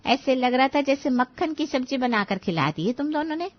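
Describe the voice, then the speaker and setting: Woman playing kindly older auntie, gentle, reassuring, high voice, cartoon moral story, slightly muffled audio. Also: big pitch swings, normal speed, no echo. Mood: gently explaining. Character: kind older aunt-in-law, gently advising her nephews' wives. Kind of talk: animated moral-story dialogue.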